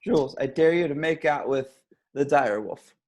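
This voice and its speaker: A man's voice: on the high side, moderate pace, darkish tone, natural voice. A man playing a stoned, grumpy, sarcastic young slacker in an amateur table read. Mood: sardonic, stoned.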